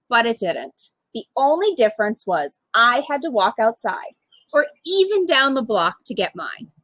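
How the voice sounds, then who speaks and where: Woman, storytime reader. High, very lively pitch, slightly husky, close dry sound. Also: dry recording, poor audio. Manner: animated, emphatic storytelling for young children. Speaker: storytime reader, literacy program manager. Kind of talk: expressive children's picture book read-aloud.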